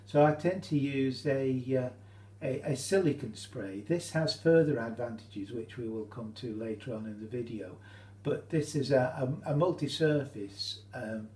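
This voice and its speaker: A British man giving an informal, halting how-to demonstration, explaining each step as he goes. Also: medium pitch, lively intonation, darkish tone. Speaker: hobbyist demonstrator. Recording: roomy echo, faint hum.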